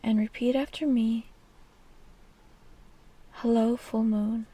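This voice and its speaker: A woman leading a guided meditation in a soft, breathy, half-whispered and soothing delivery. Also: fairly high pitch, steady tone, slow, dry recording.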